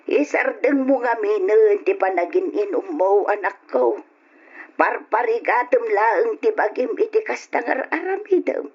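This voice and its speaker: An actress playing an older, concerned mother pleading with a grown son in an old radio drama, husky-voiced. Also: fairly high pitch, expressive, a little slow, lo-fi sound, heavily acted.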